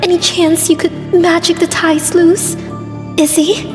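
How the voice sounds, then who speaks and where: A woman's voice: very high-pitched, flat delivery, measured pace. A woman voicing a vain young pop-star princess pony, whining in a dramatic, exasperated manner. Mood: anxious, urgent. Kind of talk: cartoon voice acting in a comic dub. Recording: very echoey, long reverb, music underneath.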